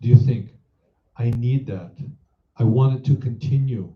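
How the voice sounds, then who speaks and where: A man leading a guided meditation as a teacher, in a measured, instructive manner, posing reflective questions. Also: medium pitch. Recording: roomy echo, dull muffled sound.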